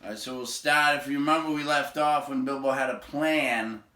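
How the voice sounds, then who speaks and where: A man's voice: medium pitch, steady tone, normal speed, noisy background. A man reading a storybook aloud to listeners, moving between narration and casual remarks. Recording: roomy echo, distant from the mic.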